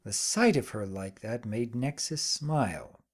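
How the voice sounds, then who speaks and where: Male narrator for dark fantasy fiction: deliberate, dramatic, slow delivery over faint music. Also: medium pitch, expressive. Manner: deliberate, theatrical.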